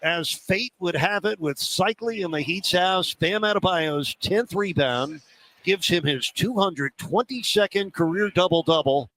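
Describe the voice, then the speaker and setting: A man calling a basketball game on television with excited, ceremonial commentary. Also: sits high, measured pace, poor audio. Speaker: TV play-by-play announcer. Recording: TV broadcast clip with arena noise.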